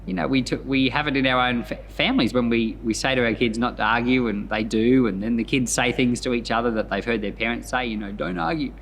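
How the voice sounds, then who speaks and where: A man reading scripture and commenting on it in an expressive storytelling manner, as a pastor hosting a Bible podcast. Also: mid-range voice, quick pace, creaky, few pauses, a little hiss or hum, rough recording.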